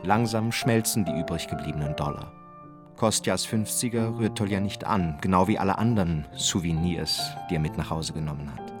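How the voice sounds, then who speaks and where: An actor as the sombre, detached male narrator of a radio crime drama, measured and melancholy. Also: low-pitched, over music, lightly acted. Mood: sombre, reflective.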